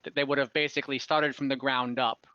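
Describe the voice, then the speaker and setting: A man's voice: medium pitch, little pitch movement, sharp tone, clean tone, dry recording, muffled recording. A man improvising narration as game master of a tabletop fantasy game, rambling and often stopping to correct himself. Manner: halting, rambling, self-correcting. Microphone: online voice call, close microphone.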